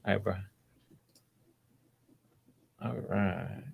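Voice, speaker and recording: A man's voice: low-pitched, steady tone, very slow, vocal fry, phone-quality sound, slight background noise. A man hosting voice auditions on a livestream, talking casually in an informal, encouraging way and often repeating phrases. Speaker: host running voice auditions.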